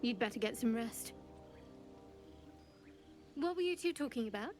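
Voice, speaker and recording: Guarded, cool young adventurer. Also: female voice, on the high side, animated, darkish tone, faint music behind. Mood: wary, cool. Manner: reserved, measured. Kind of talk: fantasy video game acting.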